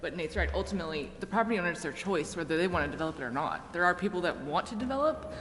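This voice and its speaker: A woman's voice: lowish voice, animated, rapid-fire, fairly bright tone. Assertive American woman in an echoey chamber. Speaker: city meeting participant. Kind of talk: debate at a public meeting. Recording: echoey council chamber, faint noise.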